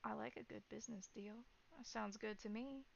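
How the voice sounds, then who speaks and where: A woman's voice: medium pitch, steady tone, fairly quick, gravelly. A woman voicing a wary, frank young woman who bargains with vampires casually and directly in a tabletop roleplay. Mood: guarded, agreeable. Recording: dull, band-limited sound.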